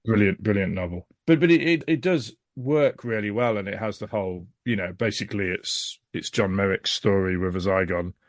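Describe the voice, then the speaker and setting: A man's voice: on the low side, a little fast, hardly any pauses, dry recording, clean studio sound. A man giving a casual podcast review as a guest, speaking in a rambling, emphatic, frank way.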